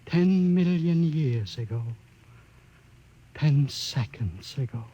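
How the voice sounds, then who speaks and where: An old scientist unfolding a tragic discovery, slow and weighty in his telling. His voice is slightly high, with a faint old-broadcast hum beneath it. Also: animated. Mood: grave. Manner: slow, heavy, explanatory. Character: aged male scientist, grieving and awed. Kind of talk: 1950s radio drama acting.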